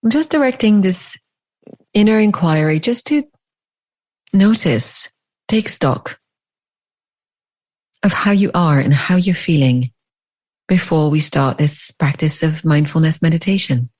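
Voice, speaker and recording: Woman doing guided meditation, really slow, lowish voice, lots of long pauses. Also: animated, a little mellow. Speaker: meditation teacher.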